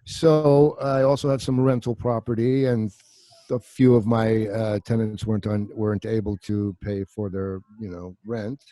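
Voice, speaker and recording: Middle-aged man, low and dry, rambling and cursing over a home desk mic. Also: level tone, a little slow, mellow, no echo. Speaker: guest actor. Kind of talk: casual interview chat. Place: home room. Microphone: desk microphone on video call.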